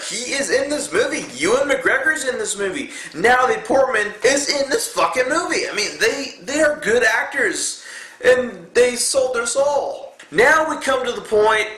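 A man's voice: high voice, pushed and bright, echoey room. A man in his twenties giving a casual, profane movie review, blunt and exasperated. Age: 20s. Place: indoor room in front of a dark backdrop.

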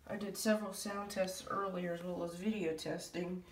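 A woman's voice: fairly low pitch, level tone, normal speed. Woman doing a casual home vlog, kinda hesitant, echoey room w faint noise. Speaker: hobby video creator. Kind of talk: casual vlog update to viewers. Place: small room at home, indoors. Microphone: handheld camera or phone mic. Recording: roomy, distant mic, light noise.

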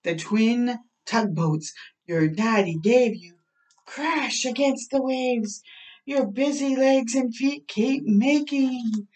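A woman's voice: a little high, slow pace, darkish tone. A middle-aged woman hosting children's storytime, reading a picture book aloud expressively with asides, drawing out words in a home bathroom. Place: small home bathroom, indoors. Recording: slightly distant microphone in a room.

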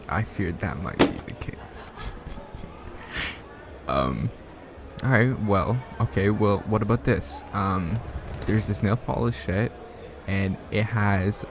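A man acting a shy, smitten young man in an ASMR roleplay, speaking softly and hesitantly with bashful stammers. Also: fairly low pitch, takes it slowly, background noise. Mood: eager, helpful. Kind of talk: ASMR roleplay, soft one-sided conversational acting.

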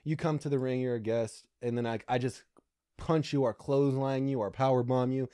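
A young man from Alaska, a guest comedian, chatting casually on a podcast in a small studio, talking in halting, rambling stretches and laughing often. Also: medium pitch, steady tone, mellow, clear, resonant tone, no echo. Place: small studio with brick backdrop. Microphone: desk mic on boom arm.

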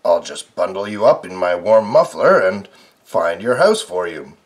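A man voicing a kind, modest male badger who speaks low and mild, with stammers. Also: lively intonation, a little slow.

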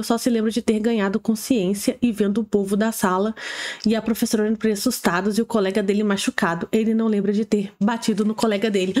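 A young woman streaming from home, reacting with blunt, sarcastic commentary and quick asides. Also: on the high side, a little mellow. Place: home streaming room, seated in gaming chair.

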